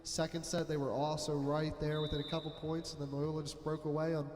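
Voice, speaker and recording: A young man giving loud, chatty, joking play-by-play commentary on a school volleyball match from an echoey gym. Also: fairly high pitch, flat delivery, brisk, a little hiss or hum. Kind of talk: amateur sports commentary. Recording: roomy echoing gym with long reverb.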